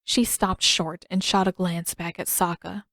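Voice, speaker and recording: A woman's voice: lowish voice, flat delivery, a little slow, a bit bright, no room sound. Woman reading a story, very dry sound. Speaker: fan fiction author and reader. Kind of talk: scripted story narration.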